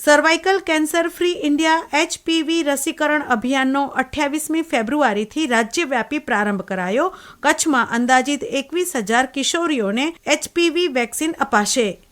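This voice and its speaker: A woman's voice: high-pitched, moderate pace, a bit bright. A woman reading scripted news headlines as a newsreader.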